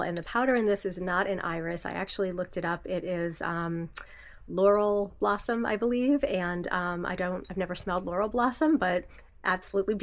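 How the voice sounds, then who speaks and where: A woman with a slightly stuffy nose from a cold talks through her perfume favorites, soft and gushing, unhurried with plenty of "um"s. Her voice sits a little low for a woman. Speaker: fragrance vlogger. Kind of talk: casual product review.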